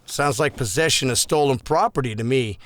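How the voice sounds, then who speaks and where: A man's voice: medium pitch, brisk, fairly bright tone, natural voice. An actor playing a dismissive, combative middle-aged police officer and father. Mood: scornful. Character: gruff hungover middle-aged cop father.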